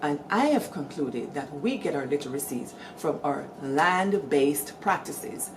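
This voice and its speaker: A middle-aged Guyanese woman hosting, telling village stories in a lively, animated way and calling out to her listeners. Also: low-pitched, a little slow, background noise. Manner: lively, animated storytelling with call-outs to listeners. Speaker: storyteller host. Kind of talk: storytelling talk.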